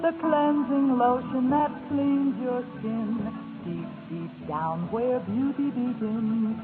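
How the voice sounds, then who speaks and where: High, slow woman in old radio jingle. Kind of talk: drawn-out scripted radio advertisement. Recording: tinny old radio with loud music.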